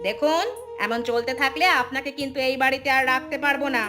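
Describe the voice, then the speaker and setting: A woman's voice: sits high, fairly even intonation, fast, music in the background, natural voice. A woman voicing a rude, impatient daughter-in-law in a cartoon folk tale. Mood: irritated, scornful.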